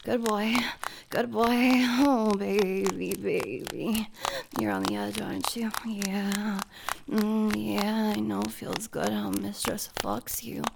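Woman acting a slow, creaky-voiced dominant female boss. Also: medium pitch, heavy background noise. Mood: commanding, aroused. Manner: breathy delivery with sighs and drops into whispers. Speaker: audio roleplay performer. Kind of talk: intimate adult audio roleplay.

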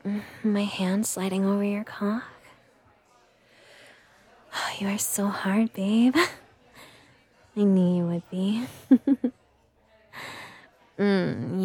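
Woman acting as playful adult girlfriend, intimate audio roleplay, unhurried, breathy, soft mellow tone, teasing. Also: medium pitch. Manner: breathy, slow, teasing, often dropping into whispers.

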